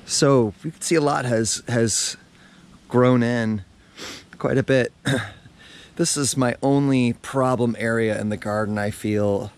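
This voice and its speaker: Hoarse man chatting in his garden. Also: medium pitch, takes it slowly. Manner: chatty, relaxed, with many fillers. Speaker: gardening channel host. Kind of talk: casual garden tour vlog. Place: outdoors in a backyard garden.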